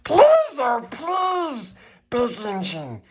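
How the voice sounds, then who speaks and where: A small wretched creature, reads a storybook in a raspy, strangled voice. The pitch lurches wildly, and the slow, hissing delivery turns fawning and peevish in muttered asides. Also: male voice, huge pitch swings, exaggerated voice. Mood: desperate wailing. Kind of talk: character reading of a children's storybook.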